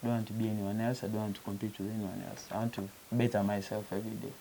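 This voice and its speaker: Man from Uganda, musician guest, gravelly and dark-toned, earnest, faint hiss. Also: fairly low pitch, level tone, very fast. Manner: earnest, repeats and restarts phrases, says "you know" often. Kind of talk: casual interview talk.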